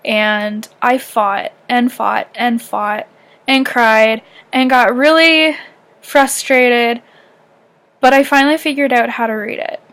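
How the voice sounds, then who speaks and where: A woman's voice: fairly high pitch, fairly even intonation, slow, a bit bright. A young woman from southern Ontario with a light Canadian accent, rambling through a casual personal vlog. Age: young adult. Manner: rambling, heavy use of fillers like "um" and "like". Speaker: vlogger.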